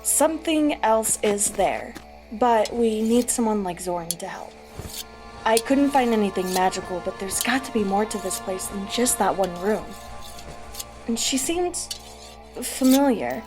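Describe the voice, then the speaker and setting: An actress playing a thoughtful, focused spellcaster adventurer in an audio fantasy drama, speaking deliberately. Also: female voice, medium pitch, lively intonation, music in the background, close to an everyday voice. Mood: pensive.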